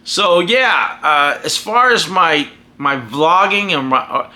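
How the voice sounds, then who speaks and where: An American man sounding off in a vlog, his voice fairly high and strikingly sharp and forceful. He rambles emphatically, starting phrases over again and again. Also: lively intonation, takes it slowly. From United States. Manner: emphatic, rambling with frequent restarts. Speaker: vlogger and podcaster. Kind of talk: casual opinionated monologue.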